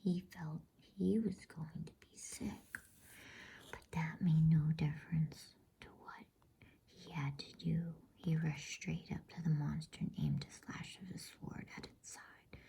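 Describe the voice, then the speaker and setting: Woman whispering a slow, breathy ASMR bedtime story. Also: deep voice, steady tone. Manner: slow, hushed, soothing delivery. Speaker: host reading bedtime stories.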